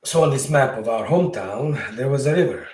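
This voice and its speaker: A man's voice: mid-range voice, animated, brisk, darkish tone, reverberant, recorded from a distance. A man from Bosnia whose first language is Serbo-Croatian, telling a story at home in a rambling, casual way, correcting himself and chuckling as he goes. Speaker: podcast host. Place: room at home.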